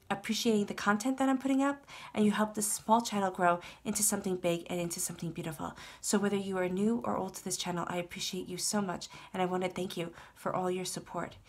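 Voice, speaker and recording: Stuffy-nosed woman giving a tarot reading. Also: mid-range voice. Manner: soothing, reassuring, repeats phrases. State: stuffed up from a cold. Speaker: tarot reader. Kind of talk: tarot reading monologue to camera.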